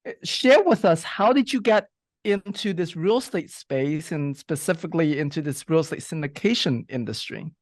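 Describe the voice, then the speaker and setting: A man's voice: a little high, animated, normal speed, no echo, studio quality. A man hosting a podcast interview, asking questions in a casual way and cheering his guest on with short affirmations. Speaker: podcast host.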